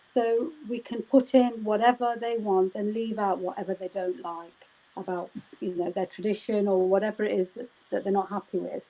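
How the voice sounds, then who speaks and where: A woman's voice: medium pitch, steady tone, moderate pace, few pauses, dark tone, rough recording. A woman celebrant answering interview questions, explaining at length with frequent "um" and "you know" fillers. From United Kingdom. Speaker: wedding celebrant. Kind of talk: live chat interview.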